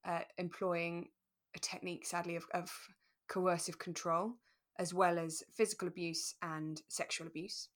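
A woman co-hosting a podcast, talking through a radio drama in a relaxed, careful, explanatory way. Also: lowish voice, normal speed. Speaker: podcast co-host.

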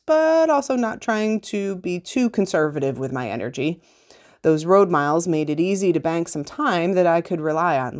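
A woman's voice: mid-range voice, darkish tone. A woman reading a scripted first-person account aloud as a podcast host.

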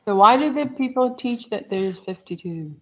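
A woman co-hosting a casual chat, talking in a rambling, lively way about her week as a church school teacher. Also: mid-range voice, normal speed. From outside the United States. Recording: dull lo-fi sound.

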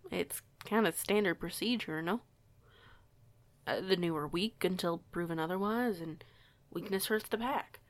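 Woman acting a low-voiced, flustered young werewolf. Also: measured pace, close to an everyday voice. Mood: defensive, candid. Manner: stammering, sweary, emphatic. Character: young female werewolf outsider, flustered, foul-mouthed, fiery. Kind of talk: audio roleplay acting.

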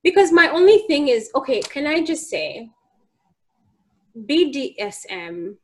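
A woman's voice: high-pitched, measured pace. A young woman from Uganda, hosting a casual group chat and telling stories with laughter and pointed questions. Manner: animated, laughing storyteller who asks pointed questions.

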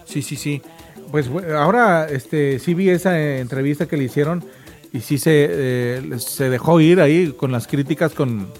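A man's voice: a little high, unhurried, a little mellow, soft background music. A man hosting a morning radio show in casual on-air chat.

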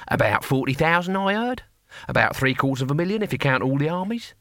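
Man putting on a proud, gruff old barbarian hero. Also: a little high, sing-song, fast, a bit bright, dry recording, clean studio sound, pitched above the performer's own voice. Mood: matter-of-fact, proud. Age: elderly. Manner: colloquial, blunt, boastful.

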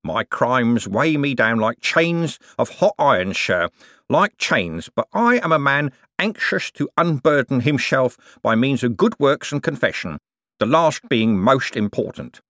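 A man's voice: medium pitch, very animated, unhurried, bright, forceful tone, no room sound, studio quality, voice pushed up. A man voicing an old con man posing as a repentant preacher, solemn and drawn out, with mushy false-toothed speech. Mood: pious wheedling.

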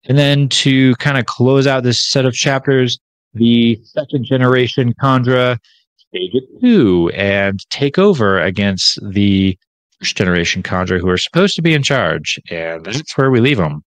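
Man, podcast co-host, casual chapter summary, loose and informal, frequent restarts, mid pitch, dry close sound. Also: animated, a little slow, dry recording, poor audio.

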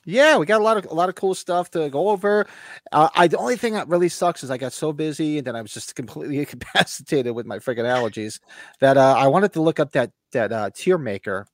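A man's voice: on the high side, fast, dry recording. A middle-aged man with a stuffy, sniffly nose from allergies, a podcast co-host rambling and telling stories in casual chat over a remote call. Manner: rambling, animated storyteller.

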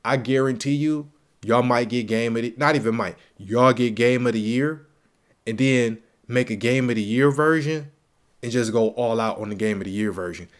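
A man's voice: mid-range voice, moderate pace. Stuffy-nosed man hyping games. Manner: slangy, repeats phrases for emphasis, hype-man energy. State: stuffy, congested. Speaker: podcast host. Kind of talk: casual solo gaming podcast.

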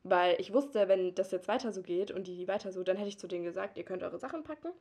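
A woman's voice: medium pitch, quick pace, darkish tone. A young woman co-hosting a podcast, telling a work anecdote in casual chat and laughing often. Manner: laughs often, tells anecdotes with acted quotes. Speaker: podcast co-host.

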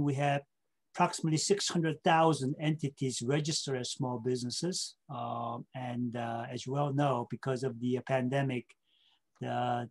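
A man's voice: medium pitch, darkish tone. A middle-aged man from South Korea, answering interview questions with anecdotes and explaining step by step, often checking in with short tag questions. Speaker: interview guest, state government official.

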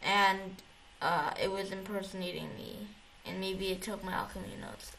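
A woman in a casual chat, retelling things in a halting, rambling way with repeated false starts. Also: medium pitch, fairly even intonation, a bit bright. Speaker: co-host recapping a video game story. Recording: clearly noisy recording with background ambience.

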